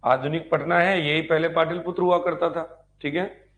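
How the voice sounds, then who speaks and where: A man's voice: fairly high pitch, steady tone, normal speed, a bit bright. A man giving an informal online history lecture as a teacher, repeating key points and asking check questions. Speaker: history teacher for exam preparation.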